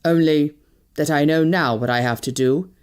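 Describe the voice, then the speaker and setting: Lady reader doing a grim bossy colonel, low voice, firm and clipped, storybook dialogue. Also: sing-song, fairly quick, a little mellow, full character voice. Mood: grave, intent. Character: stern, commanding British colonel, a grim older man. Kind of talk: audiobook character dialogue.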